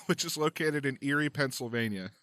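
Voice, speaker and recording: A man's voice: medium pitch, a little slow, pushed and bright, no echo. A man on a comedy podcast, reading facts aloud in a matter-of-fact way.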